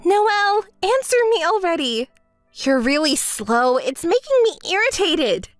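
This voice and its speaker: A woman's voice: very high voice, big pitch swings, unhurried, dry recording, soft background music, exaggerated voice. A woman voicing a spoiled, greedy young woman who whines, demands and shrieks in a dramatized story. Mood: shrieking. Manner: whiny, shrieking, self-pitying.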